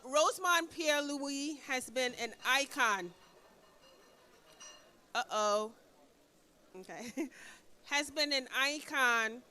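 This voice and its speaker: A woman's voice: high-pitched, sharp tone. A woman presenting an award at a gala, laughing in between her words. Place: hotel banquet hall.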